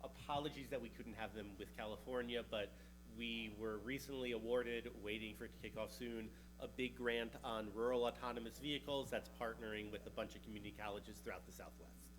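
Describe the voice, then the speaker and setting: A man's voice: mid-range voice, fairly even intonation, a little fast, a bit bright, low-quality recording. A man in his thirties with a New York accent, presenting at a conference in an easygoing, chatty way. His voice sits in a middle register. A faint hum runs under the recording. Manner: casual and chatty, with a joking aside here and there. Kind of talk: conference talk.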